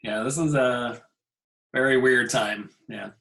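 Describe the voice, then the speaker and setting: A man, an instructor, chatting casually with his students on a video call, relaxed and chuckling as he trails off. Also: mid-range voice, takes it slowly, vocal fry. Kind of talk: casual class meeting chat. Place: home.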